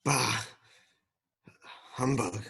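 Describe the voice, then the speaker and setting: A husky, breathy voice at a middling pitch: a man as an old miser in a radio play. The delivery is dramatic and hesitant, that of a crusty man unsettled. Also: extremely slow, a bit bright, exaggerated voice. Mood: grumpy. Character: grumpy, fearful old miser softening into wistfulness.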